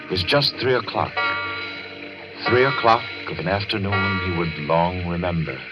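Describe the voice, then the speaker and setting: Male radio announcer, 1940s dramatic narration, deep pitch, portentous, theatrical, deliberate, dull old broadcast sound. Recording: muffled vintage radio broadcast. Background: loud dramatic music.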